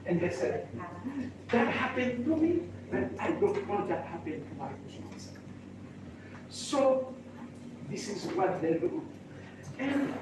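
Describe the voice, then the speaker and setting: Older man, high-pitched, slow and halting, recorded far off in a very echoey, noisy room. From Haiti. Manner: halting, repeats and restarts phrases. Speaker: real estate association president. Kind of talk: personal introduction speech. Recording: echoey room, mic far off, background noise.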